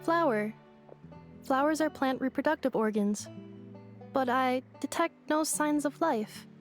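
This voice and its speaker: A woman voicing a calm, literal-minded female android who talks in precise, formal, machine-like phrases, over clearly heard game music. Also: high-pitched, measured pace. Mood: inquisitive. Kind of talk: game voice acting. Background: game score music.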